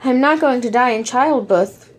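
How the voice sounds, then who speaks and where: A woman playing a gentle, caring young wife in an audio play, soothing and reassuring. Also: a little high, normal speed, lightly acted. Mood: concerned, reassuring.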